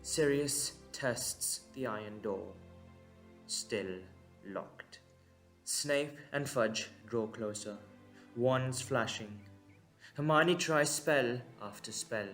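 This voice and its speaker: A man narrating a dramatic story in short, measured, suspenseful phrases. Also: medium pitch, a bit bright, faint music behind.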